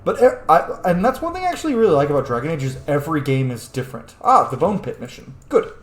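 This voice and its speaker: Casting: sweary, opinionated male streamer, mid-pitched and very expressive, casual gameplay chat in a roomy recording. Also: quick pace. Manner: opinionated, profane. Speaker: game streamer. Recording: roomy sound with faint game music.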